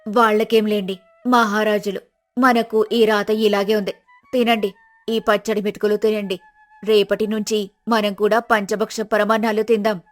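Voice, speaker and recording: A woman voicing a scheming, sharp-tongued poor village wife in a storybook drama, talking in a bossy, scolding way. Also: mid-range voice, little pitch movement, normal speed, faint music behind, close to an everyday voice.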